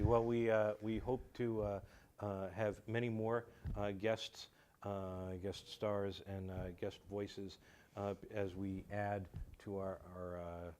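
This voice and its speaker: A man chatting casually as an interview guest, courteous and halting, often repeating words as he goes. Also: on the low side, a little mellow, a little hiss or hum. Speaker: guest, radio show writer. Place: community television studio.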